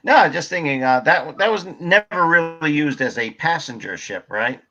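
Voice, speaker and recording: A man speaking as a guest, in a relaxed and hesitant way with many pauses. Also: on the high side, muffled recording, soft background music. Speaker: guest, paranormal investigator. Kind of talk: casual chat on a call.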